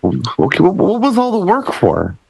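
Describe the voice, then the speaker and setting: A man chatting casually as a podcast panelist, interjecting reactions and working through his thoughts haltingly. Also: high voice, wildly swooping pitch, vocal fry, a little mellow, slightly rough, dry recording. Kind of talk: casual chat.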